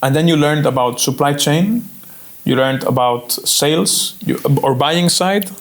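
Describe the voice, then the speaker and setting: A man hosting a podcast, asking relaxed follow-up questions and summing up his guest's answers. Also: a little high, a little slow. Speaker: podcast host. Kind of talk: casual interview chat.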